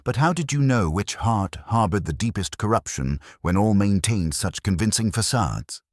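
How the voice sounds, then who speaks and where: A man's voice: fairly low pitch, lively intonation, normal speed, no room sound, studio quality, voice pushed up. A man voicing a reserved, curious police inspector with a formal, questioning manner in a mystery audiobook.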